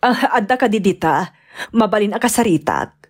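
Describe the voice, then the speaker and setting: A woman's voice: medium pitch, expressive, moderate pace, close to an everyday voice. A woman with an Ilocano accent playing an anxious, long-suffering betrayed wife and mother in a radio-style drama. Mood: worried, hesitant.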